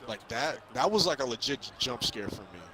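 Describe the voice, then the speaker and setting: A young man casually recapping a movie in an excitable, rambling, hesitant way. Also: medium pitch, moderate pace. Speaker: vlogger reviewing a film. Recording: crowd chatter, bouncing basketball sounds behind.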